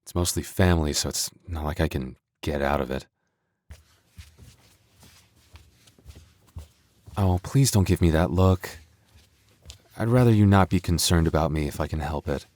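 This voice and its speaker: A man playing a gentle, sheepish young werewolf boyfriend in an intimate ASMR roleplay, speaking softly and hesitantly. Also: rumbling low, a little fast. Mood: apologetic, uneasy. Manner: soft, hesitant, stammering.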